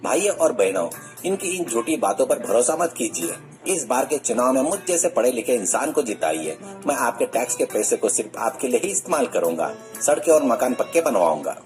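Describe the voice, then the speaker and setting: A man's voice: mid-range voice, very animated, fairly quick, darkish tone, close to an everyday voice. A man playing an earnest, kind young educated social worker in a cartoon story. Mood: earnest appeal. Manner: earnest, pleading. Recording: music bed under the voice.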